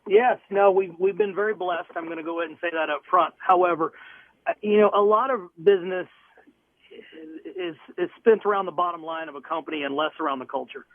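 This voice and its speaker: An upbeat, earnest man from Southwest Missouri talks plainly about his business as a radio guest. His voice sits a little high. It comes through a tinny, dry phone line. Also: a little fast, no room sound. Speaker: real estate broker, guest. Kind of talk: radio interview answers. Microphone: telephone.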